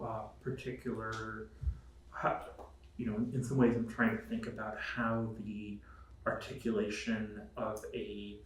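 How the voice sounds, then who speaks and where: A man speaking on an academic panel, halting with many fillers. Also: on the low side. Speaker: panelist, historian. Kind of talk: spontaneous academic panel remarks. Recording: roomy echo, distant mic, faint noise.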